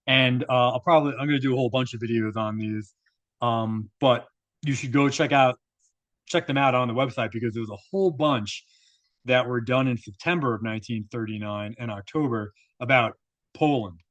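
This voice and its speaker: A man's voice: medium pitch, a bit bright, dry recording. Man reading aloud with opinionated asides, dry recording. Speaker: video narrator and commentator. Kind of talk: reading an article aloud with informal commentary.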